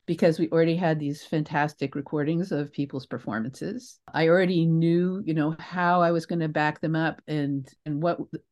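An older American woman speaks as an interview guest, her voice sitting a little low. She answers conversationally, wandering into asides and reflections, and leans on the words that matter to her. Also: a little mellow. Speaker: interview guest, theatre creator.

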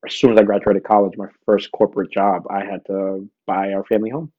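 Low-voiced man hosting, slightly muffled. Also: level tone, a little fast. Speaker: podcast host. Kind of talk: casual conversational interview.

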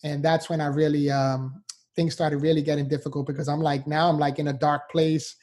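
Upbeat, mellow-voiced New man. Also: on the high side, flat delivery, brisk. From New York, USA. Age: 40s. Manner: earnest, upbeat, with light laughs and fillers. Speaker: motivational speaker guest. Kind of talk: interview storytelling.

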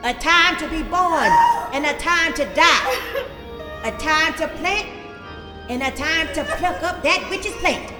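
A woman's voice: extremely high pitch, a little slow. A woman playing a devout church sister who reads scripture emphatically and calls out affirmations to the preacher. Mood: eager, emphatic. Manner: exclamatory church responses, emphatic reading. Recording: reverberant hall with music behind.

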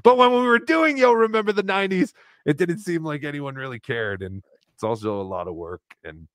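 A man's voice: a little high, expressive, brisk, no echo. A man co-hosting a livestream, reading donations and chatting casually, swearing and laughing as he talks. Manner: loose, swearing, laughs while talking. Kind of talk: casual profane chat.

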